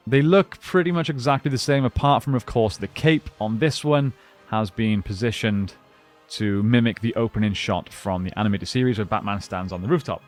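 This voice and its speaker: A man giving a casual unboxing and review, talking informally and thinking aloud as he goes. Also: medium pitch. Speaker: toy reviewer and collector.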